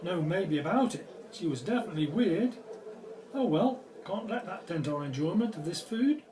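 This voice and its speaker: A man's voice: high-pitched, animated, moderate pace, warm tone, distant mic. A man in an audio drama playing a cocky, jokey archaeologist who talks bluntly and informally. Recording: off-mic, noisy ambient background.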